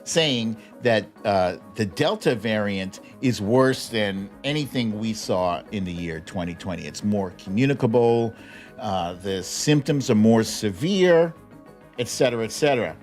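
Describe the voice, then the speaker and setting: A man's voice: lowish voice, lively intonation, unhurried, faint music behind. A man hosting a live news commentary show in an informal, wry, comedic way, often chuckling as he talks. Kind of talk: casual comedic political news commentary livestream.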